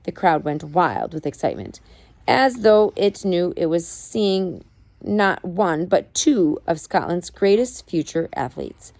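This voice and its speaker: A woman with a slightly low voice reads a true-life story aloud at home. Her delivery is measured, leaning on key words like a parent at storytime. The sound is dry, with very little room in it. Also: very animated, vocal fry, darkish tone, dry recording. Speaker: parent reading aloud to child. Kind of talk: reading a biography aloud, storytelling. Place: at home with a child nearby.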